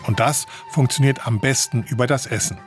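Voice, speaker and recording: A man's voice: mid-range voice, fairly quick, faint music behind. A man reading a scripted radio report as the reporter.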